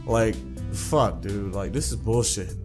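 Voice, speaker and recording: A man's voice: fairly low pitch, measured pace, over music. A man doing a casual let's play, swearing and repeating himself in slang as he comments and reads game text aloud. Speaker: gaming video host.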